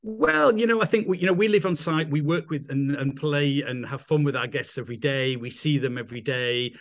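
A man's voice: fairly high pitch, brisk, fairly bright tone. Cheerful middle-aged Englishman. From England. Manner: enthusiastic, rambling with restarts. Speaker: guest. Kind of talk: casual interview chat.

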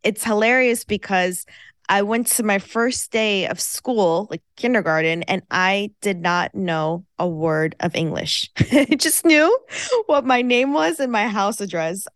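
Interview guest: woman, Farsi, mid-pitched, earnest, lots of "you know", dry room. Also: no room sound. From United States. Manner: earnest, with many fillers and restarts. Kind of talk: casual interview talk.

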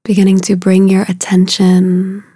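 A woman leading a guided meditation in a soothing, unhurried way, with a soft, breathy voice and long pauses between short phrases. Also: mid-range voice, monotone, no room sound, clean studio sound.

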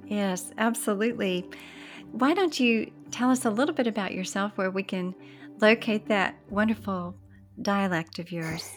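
A woman hosting a podcast, reading a measured introduction and then asking a guest questions. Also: mid-range voice, faint music behind. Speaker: podcast host. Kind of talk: scripted intro then interview.

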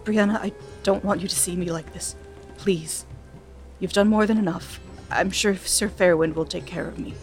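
A scheming young man with a smooth, taunting way of talking, as a woman narrator puts him on. He sounds calm and sly, a villain in a spoken fantasy story with faint music behind. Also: fairly low pitch, moderate pace, natural voice. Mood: weak.

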